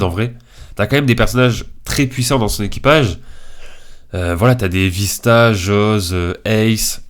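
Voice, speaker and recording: Low-voiced young man, congested, casual. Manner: informal, slangy, hesitant delivery. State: congested from a cold. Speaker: video creator. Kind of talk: casual tier list commentary.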